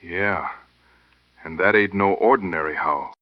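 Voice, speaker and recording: A man's voice: low voice, a little slow, highs cut off, heavy background noise. A man playing a resolute, plain-spoken frontier hunter and tracker in an audio drama, with a folksy, determined manner. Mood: wary, focused. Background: music and night crickets.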